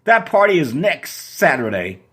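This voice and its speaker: A woman's voice: very animated, brisk. A man voicing a furious, tearful woman in curlers who screams at her date. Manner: screaming, tearful, high-pitched.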